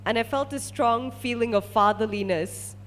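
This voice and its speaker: A woman's voice: a little high, normal speed, slight background noise. A woman from Malaysia, a research scientist, telling a personal story to an audience as a testimonial.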